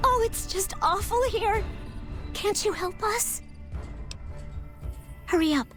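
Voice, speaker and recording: Very high-pitched frightened captive girl. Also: slow, over music. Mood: frightened, urgent. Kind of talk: video game dialogue acting.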